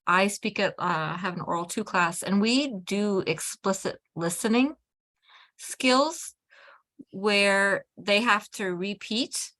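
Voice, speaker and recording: A woman teacher speaking on a video call among colleagues. Her voice sits at a middle pitch, and she gives practical, measured advice with small pauses and fillers. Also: a bit bright, low-quality recording. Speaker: adult ESL teacher. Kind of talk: casual discussion among teachers.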